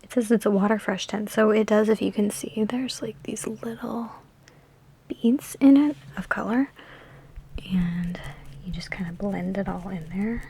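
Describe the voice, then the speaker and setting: A woman in an ASMR roleplay speaking in a soft, breathy whisper mixed with hushed talk, with a sassy, teasing, chatty big-sister manner, close to the microphone. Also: mid-range voice, a little hiss or hum. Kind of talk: ASMR personal attention roleplay.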